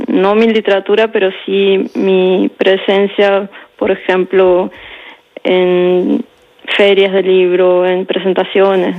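Woman writer in her forties, even and reflective, with fry in the voice, heard on muffled radio audio. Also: mid-range voice. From Peru. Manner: reflective, often adds "¿no?" and repeats words. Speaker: writer, interview guest. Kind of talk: interview answers.

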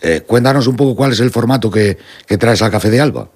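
A man's voice: mid-range voice, expressive, quick pace, a little mellow, husky. A man from Murcia interviewing a guest, asking questions with hesitant fillers. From Murcia, Spain.

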